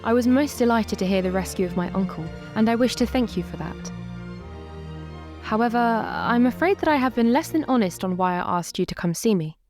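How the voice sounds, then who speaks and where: A woman's voice: on the high side, animated, brisk, a little mellow, soft background music, lightly acted. A woman playing a gracious, regal empress in a tabletop game, speaking in formal, direct, courtly phrases. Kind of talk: tabletop roleplay acting.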